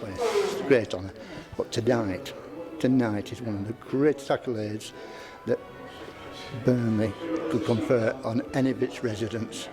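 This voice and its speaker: A man's voice: mid-range voice, lively intonation, normal speed. A man giving informal interview remarks as a town mayor. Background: loud music.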